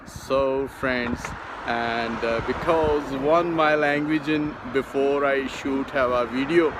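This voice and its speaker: A middle-aged man whose first language is Urdu, narrating a casual outdoor vlog from a city bridge in halting, broken English with many fillers. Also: medium pitch, fairly even intonation. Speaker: vlogger. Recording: outdoor phone recording with street noise. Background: traffic and city street noise.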